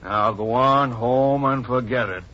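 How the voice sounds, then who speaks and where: A man's voice: medium pitch, unhurried, heavy background noise, natural voice. An actor playing an impatient, blunt policeman shooing people along in an old-time radio drama. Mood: brusque. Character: brusque street policeman shooing a crowd. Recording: muffled vintage radio, loud crowd behind.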